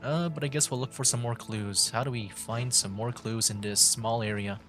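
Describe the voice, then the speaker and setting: A man's voice: mid-range voice, steady tone, normal speed, over music. A man giving casual live game commentary as a streamer, rambling as he thinks aloud.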